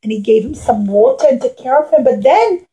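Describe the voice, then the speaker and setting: A woman's voice: on the high side, expressive, brisk, dark tone, rough recording. A woman storyteller presenting a puppet show for young children, speaking in simple short phrases and addressing them directly.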